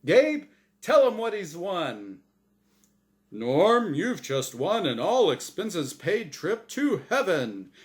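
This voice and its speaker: Guy doing a showy angel game show host for a story, high voice, super animated announcer thing, smug and cheery. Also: slow, full character voice. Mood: showy, excited. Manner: booming, exaggerated announcer delivery.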